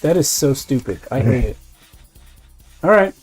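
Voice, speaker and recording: A man with a medium-pitched voice plays a tabletop game. He speaks in casual banter, and music plays faintly behind him. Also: big pitch swings, measured pace, slightly rough.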